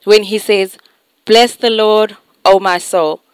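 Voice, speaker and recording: A woman's voice: mid-range voice, fairly even intonation, slow, smooth, dry recording. A young adult woman presenting to camera in a scripted style. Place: empty church hall. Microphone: handheld mic.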